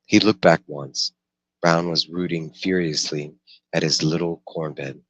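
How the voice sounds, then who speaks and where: Very deep-voiced man reading a novel aloud. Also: steady tone, unhurried, a bit bright, no echo. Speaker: audiobook reader.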